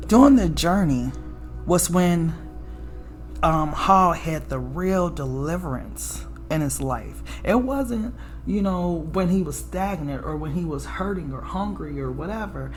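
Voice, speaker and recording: Raspy deep-voiced woman doing a tarot reading, kinda preachy, keeps saying okay, faint music behind. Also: lively intonation, unhurried. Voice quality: low alto voice. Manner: preachy, often tags "okay?" and "right?". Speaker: tarot reader. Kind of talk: casual spiritual tarot reading.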